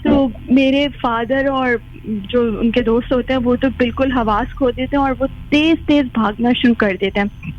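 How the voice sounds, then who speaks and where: A woman's voice: fairly high pitch, unhurried. A woman calling a radio show by phone, telling a story casually and mixing in English words. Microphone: phone call. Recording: dull lo-fi phone-in line.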